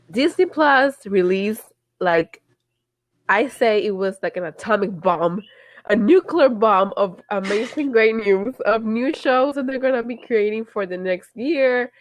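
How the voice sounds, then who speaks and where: A woman's voice: medium pitch, no echo. Congested young woman, dry close sound. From Puerto Rico. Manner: playful, laughs, switches into Spanish, swears. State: congested from allergies. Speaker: podcast co-host. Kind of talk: casual chat.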